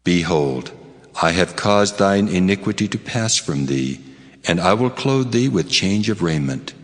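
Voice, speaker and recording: Man as deep, reverberant voice of the Lord. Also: expressive, measured pace. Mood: solemn, merciful. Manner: solemn, declamatory. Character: stern, authoritative Lord issuing divine rebuke and pardon. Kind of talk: dramatized scripture reading. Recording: long reverb over faint music. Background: faint music.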